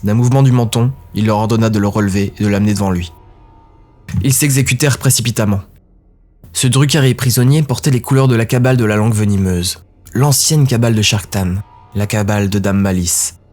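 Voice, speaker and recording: A man narrating a dark fantasy audio drama in a steady, grave way. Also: lowish voice, brisk, soft background music.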